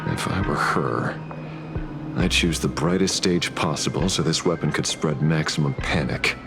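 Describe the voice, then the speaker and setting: An actor playing a gruff, wry investigator in a video game, confident and sardonic. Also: male voice, extremely low pitch, normal speed. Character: gruff, wry, instinct-driven male investigator. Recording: music behind the voice.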